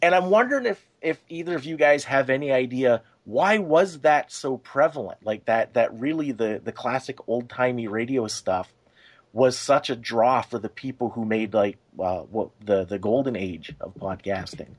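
Mid-pitched man, halting casual host. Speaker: podcast host. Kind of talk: casual podcast discussion.

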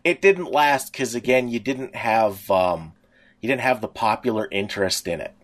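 A man's voice: on the low side, normal speed. A middle-aged man co-hosting a podcast, chatting casually in a talkative, rambling, anecdotal way. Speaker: podcast co-host. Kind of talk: casual reminiscing chat.

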